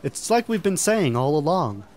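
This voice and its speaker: A man's voice: on the high side, big pitch swings, moderate pace, darkish tone. A man playing an upbeat idea person who rallies a misfit gang of schemers in a comedic sci-fi radio drama. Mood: rallying, frank.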